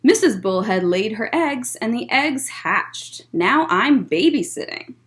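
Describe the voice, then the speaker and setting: A proud fish father from a picture book, read aloud by a woman. He sounds contented and matter-of-fact, in a fairly high voice. The telling is slow and cheerful, with lots of melody. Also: sing-song, fairly bright tone.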